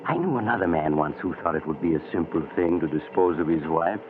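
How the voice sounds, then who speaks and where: Macabre train-traveler host, very low voice, wry, theatrical, muffled vintage radio. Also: male voice, animated, brisk. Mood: sly, genial. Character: mysterious male train traveler, genial, sinister teller of macabre tales. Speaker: narrator and host of mystery drama. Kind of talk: 1940s radio mystery narration. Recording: muffled, noisy old radio recording.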